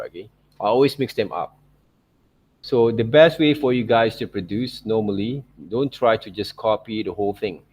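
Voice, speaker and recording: Low-voiced man teaching step by step. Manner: repeats check words like okay and right. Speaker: online art teacher. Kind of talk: informal software tutorial narration.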